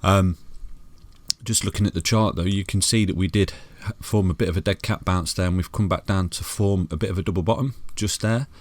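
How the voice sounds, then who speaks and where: Deep-voiced man, chatty chart talk. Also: fairly even intonation, fairly quick, no echo. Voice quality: soothing voice. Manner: chatty, calls viewers "guys" and "mate", many restarts. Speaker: crypto chart analyst. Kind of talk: casual chart walkthrough.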